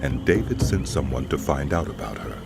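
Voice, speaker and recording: A man with a deep voice serves as narrator of a dramatized scripture. His delivery is solemn storytelling with lively intonation. Loud music runs beneath him. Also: brisk, gravelly.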